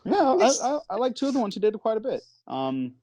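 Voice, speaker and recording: A man hosting a podcast in casual chat, animated and playfully dramatic, often interrupting himself as he moderates a debate. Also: sits high, fairly quick, no echo. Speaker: podcast host.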